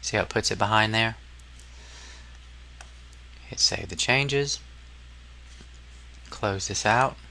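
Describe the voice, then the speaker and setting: A man narrating a casual software tutorial as an instructor, explaining step by step in an informal, somewhat hesitant way. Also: on the low side, takes it slowly, long pauses.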